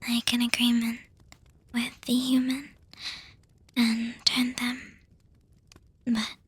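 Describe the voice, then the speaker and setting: A very breathy whisper from a woman playing an affectionate young vampire girlfriend in an ASMR roleplay. She speaks extremely slowly and softly, with an even, narrow melody, hesitating with little hums as she gently reassures and teases. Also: a little high, dry recording, heavily acted.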